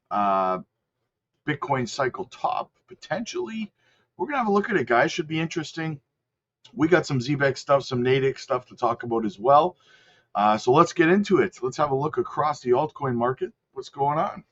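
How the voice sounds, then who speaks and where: A man's voice: medium pitch, very animated, normal speed, a bit bright. Man with a cold, chatty. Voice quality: congested, throat-clearing. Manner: rattles off lists, chatty and hedging. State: sick with a cold. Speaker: crypto channel host. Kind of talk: casual market commentary over charts. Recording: slightly dull lo-fi audio.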